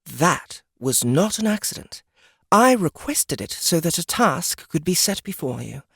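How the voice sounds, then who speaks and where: A woman playing a stern goddess of nature in an audiobook, speaking formally and deliberately, with an imperious manner. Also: low-pitched, sing-song, gravelly, slight background noise, exaggerated voice. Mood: stern, testing. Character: stern, regal goddess of nature, solemn and commanding. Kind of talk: scripted audiobook character acting.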